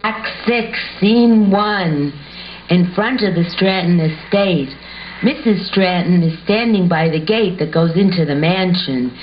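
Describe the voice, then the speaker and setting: A woman's voice: lowish voice, slow pace. A woman reading scripted narration and stage directions as the narrator of an amateur show. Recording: muffled home recording with hiss.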